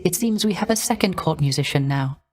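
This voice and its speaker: A male actor voicing a gentle, melancholy court musician in a video game, with soft, genteel manners and formal, archaic, theatrical phrasing. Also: sits high, lively intonation, fairly quick, faint music behind. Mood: courteous encouragement. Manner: soft, genteel, wistful, ornately polite.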